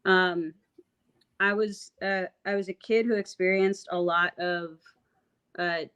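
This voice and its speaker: A woman singer-songwriter with a raspy voice, talking reflectively about her music in a casual interview, full of fillers and restarts. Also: fairly low pitch, steady tone, slow pace, a bit bright. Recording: dull lo-fi audio.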